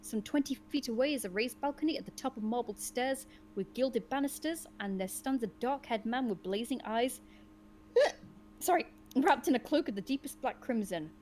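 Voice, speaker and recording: A woman with a fairly high voice hosts a gamebook session for friends. She reads the story aloud with drama and slips into casual, informal asides between passages. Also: expressive, normal speed, darkish tone, a little hiss or hum. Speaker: game reader and host.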